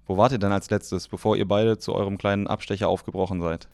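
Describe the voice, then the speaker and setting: A man's voice: fairly low pitch, little pitch movement, fast talker, vocal fry, darkish tone, dry recording, clean studio sound. A man voicing a friendly young male footballer who talks in an easygoing, amused way.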